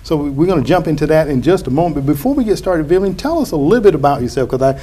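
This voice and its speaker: A man's voice: a little high, animated, racing, dark tone, breathy edge. A man hosting a local government TV interview show, friendly and conversational. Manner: friendly, conversational, lots of fillers. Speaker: host.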